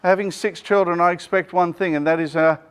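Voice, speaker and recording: A middle-aged Australian man, a business coach, running a joking, energetic seminar and quizzing his audience, speaking without a microphone to the room. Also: high voice, fairly quick, clean tone. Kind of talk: lively workshop presentation to a live room.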